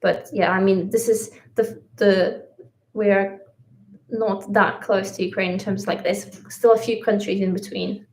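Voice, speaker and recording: Woman, from Latvia, Russian native speaker, hesitant chatty livestream host, mid pitch, roomy echo. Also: fairly even intonation, measured pace, darkish tone. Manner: hesitant, many fillers and restarts. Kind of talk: casual livestream chat. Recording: echoey room.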